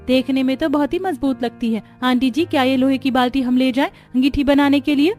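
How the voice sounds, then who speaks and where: A woman playing a high-voiced, resourceful young village daughter-in-law. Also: fairly even intonation, fairly quick, darkish tone, faint music behind, lightly acted. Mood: curious.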